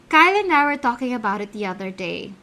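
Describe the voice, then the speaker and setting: A woman's voice: on the high side, very animated, a little fast, sharp tone, full character voice. A woman playing an opinionated, gossipy young friend with a Filipino accent, speaking emphatically in a radio drama. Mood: insistent. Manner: emphatic, conversational.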